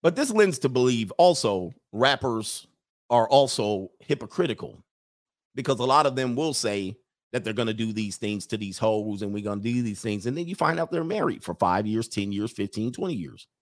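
A man in a loose, talky monologue, his pitch at an ordinary middle height. He keeps jumping into teasing impressions of other people, then breaks off laughing at his own jokes. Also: expressive, normal speed. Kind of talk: casual commentary monologue.

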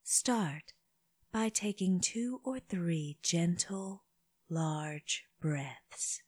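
A woman leading a guided meditation for children, speaking gently in short phrases with long pauses, her breathy voice often dropping toward a whisper. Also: on the low side, lively intonation, dry recording, studio quality. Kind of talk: slow guided body scan meditation.

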